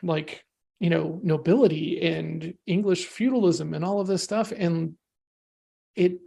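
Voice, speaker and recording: A man's voice: on the high side, fairly even intonation, a little slow, gravelly, warm tone, slightly rough. A man from the United States, a podcast guest talking casually and energetically, often adding "right?" and "you know". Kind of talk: casual discussion.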